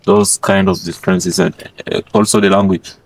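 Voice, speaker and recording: A young man from Congo, a French speaker, explaining things in a relaxed, chuckling way. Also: lowish voice, moderate pace, creaky. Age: young adult. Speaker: international student.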